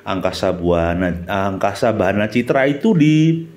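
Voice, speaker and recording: A middle-aged man speaks in a Central Javanese accent with a very animated, swooping pitch. He talks like a chatty host reminiscing aloud. He often checks in with his listener through short questions. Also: a little slow. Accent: Javanese Indonesian, Central Java. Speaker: channel host, former radio announcer. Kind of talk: reminiscing monologue with a companion chiming in.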